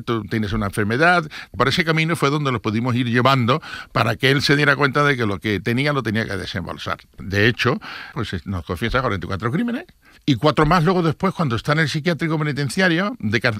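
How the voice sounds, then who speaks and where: A man's voice: mid-range voice, sharp tone, no echo, studio quality. An older man with an accent from Spain, recalling a case as an investigator in an interview, emphatic and repeating himself. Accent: Spanish from Spain. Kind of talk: spontaneous recollection in an interview.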